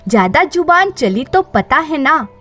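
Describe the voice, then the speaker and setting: A bullying husband, played by a woman storyteller in her high voice gives curt, menacing orders. He is short-tempered and speaks slowly, in a moral story. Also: big pitch swings, fairly bright tone, soft background music, heavily acted.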